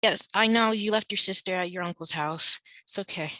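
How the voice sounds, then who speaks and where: A woman's voice: mid-range voice, a little fast, pushed and bright, close to an everyday voice. A woman playing a kindly, reassuring middle-aged prison guard in a film script table read. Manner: soothing wording, calls listener honey.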